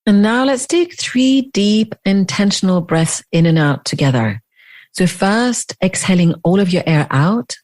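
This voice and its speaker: A woman leading a guided meditation as a teacher, speaking in deliberate phrases with long pauses. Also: mid-range voice, lively intonation, darkish tone.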